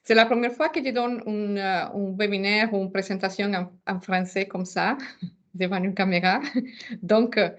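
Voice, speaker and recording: A woman's voice: mid-range voice, fairly even intonation, normal speed, muffled recording. A non-native French-speaking woman with a foreign accent presenting a webinar informally, searching for words and often repeating them. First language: not French.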